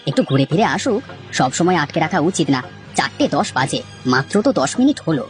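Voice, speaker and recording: A woman voicing a calm, reasonable village husband in an animated children's story, reassuring and reasoning. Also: deep voice, fast talker, faint music behind.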